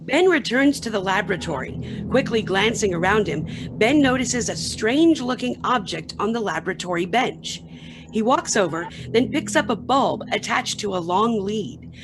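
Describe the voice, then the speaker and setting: A woman's voice: medium pitch, expressive, moderate pace, background noise. A woman reading scripted narration for a radio play.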